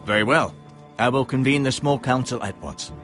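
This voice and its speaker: An actor playing a cautious, deferential maester who counsels a young lord in a dramatic fantasy video game. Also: male voice, medium pitch, normal speed, a bit bright, faint music behind, lightly acted. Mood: formal, deferential.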